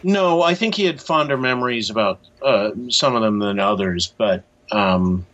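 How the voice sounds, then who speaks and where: A middle-aged man speaking as an interview guest, explaining things in a measured, slightly hesitant conversational way. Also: on the low side, a bit bright. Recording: dull, lo-fi sound.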